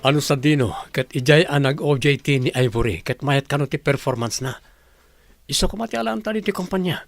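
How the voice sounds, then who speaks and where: Easygoing businessman, kinda high voice, filipino accent, radio drama, faint music behind. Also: animated, a little fast, creaky, no echo. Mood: matter-of-fact. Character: businessman friend, easygoing, recruiting a young graduate.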